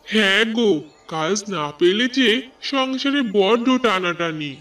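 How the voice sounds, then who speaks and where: A man's voice: high-pitched, lively intonation, unhurried, sharp tone, distant mic, heavily acted. A man voicing a kind-hearted, earnest poor village husband in a cartoon fairy tale. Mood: sympathetic.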